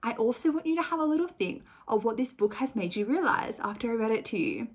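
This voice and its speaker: A woman teacher doing a storytelling read-aloud for young children, expressive and clearly phrased. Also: fairly high pitch, fast. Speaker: teacher reading a picture book to children.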